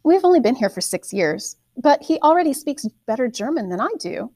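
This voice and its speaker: A woman voicing a calm, proper Irish diplomat father, speaking in a smooth, polite dinner-table tone. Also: a little high, brisk, no echo.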